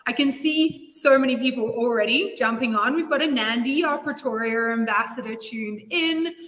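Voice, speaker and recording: A woman's voice: on the high side, moderate pace, fairly bright tone. A woman hosting a live stream as CEO, upbeat and often laughing as she greets viewers. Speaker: host and CEO. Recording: echoey room with long reverb.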